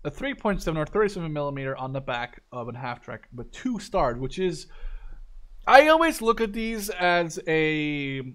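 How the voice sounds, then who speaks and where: Guy in his 20s reviewing a game, kinda high pitched, chatty and into it, swears a lot. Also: sing-song, normal speed, fairly bright tone. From Canada or Netherlands, Dutch. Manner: enthusiastic, informal, swears freely. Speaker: gaming video creator. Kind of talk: casual game review commentary.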